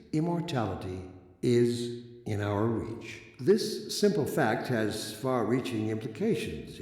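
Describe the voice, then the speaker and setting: An actor playing a pompous, composed authoritarian leader giving a formal broadcast address in an audio drama. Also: male voice, medium pitch, darkish tone. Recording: cavernous echo with long reverb.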